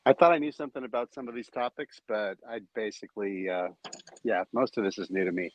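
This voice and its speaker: A man in a casual podcast discussion, explaining thoughtfully with pauses. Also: lowish voice, steady tone, a little hiss or hum, poor audio.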